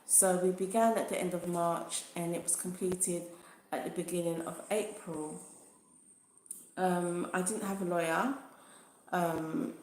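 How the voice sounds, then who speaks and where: A woman's voice: lowish voice, steady tone, a little mellow, slight background noise. Earnest woman vlogger, echoey room. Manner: earnest, reflective, unhurried. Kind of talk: personal experience vlog.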